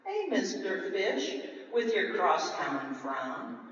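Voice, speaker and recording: A man's voice: high voice, sing-song, takes it slowly, fairly bright tone, exaggerated voice. Brief: a man playing a grinning clam, coaxing and kind, in an echoey hall. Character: cheerful grinning male clam giving advice. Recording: echoey hall, distant mic, dull sound.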